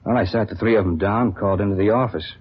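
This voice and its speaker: Low, husky beat cop. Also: male voice, quick pace. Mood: guarded, matter-of-fact. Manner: casual, matter-of-fact police slang. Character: plain-spoken, guarded male beat patrol officer. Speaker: actor in a radio police drama. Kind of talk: 1950s radio acting, conversational dialogue. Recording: dull, lo-fi old radio recording.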